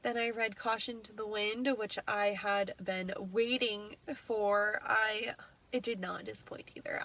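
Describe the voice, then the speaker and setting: A woman's voice: medium pitch, a bit bright, poor audio. A young woman with a stuffy, nasal voice from congestion, chatting casually through a list of books she read, with frequent ums and self-corrections. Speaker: book vlogger. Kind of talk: casual reading wrap-up.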